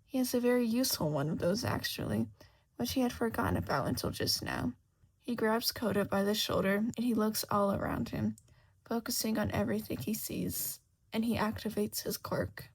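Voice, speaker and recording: A woman narrating fan fiction dramatically and expressively, at times hushed. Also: medium pitch, lively intonation, normal speed, slightly rough. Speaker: podfic narrator.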